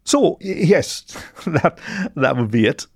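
A man's voice: a little high, sing-song, slow, creaky, no echo, clean studio sound, pitched above the performer's own voice, full character voice. A man voicing a nervous, tearful mole drug runner in a story, stammering and pleading. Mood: nervous, evasive. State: sobbing.

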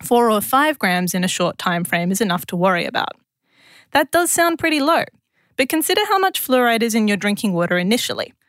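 A woman's voice: medium pitch, dry recording, clean studio sound. A woman with an Australian accent presents a scripted science explainer in a conversational, lightly wry way.

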